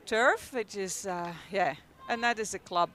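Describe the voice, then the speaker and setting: A woman's voice: mid-range voice, a little slow, fairly bright tone, slight background noise. A young Dutch woman with a Dutch accent, chatting casually as a guest commentator at a hockey game, with lots of ums and laughs. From Netherlands. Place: outdoors at a field.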